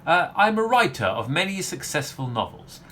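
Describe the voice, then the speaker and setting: A man acts out a smug, condescending novelist for a radio comedy. His delivery is flowery and pompous, with lively pitch movement. There is some noise in the recording. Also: normal speed. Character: pompous, self-satisfied male novelist, condescendingly charming. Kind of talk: family comedy audio play acting.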